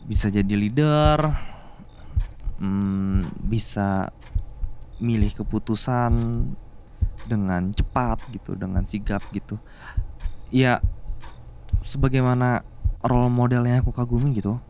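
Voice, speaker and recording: A young man with an Indonesian accent playing a shy, adoring office worker in love with his boss, in an intimate ASMR roleplay, speaking haltingly and affectionately. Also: on the low side, fairly even intonation, slight background noise. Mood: tender, admiring. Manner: hesitant, halting, softly affectionate. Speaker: voice actor in an audio roleplay.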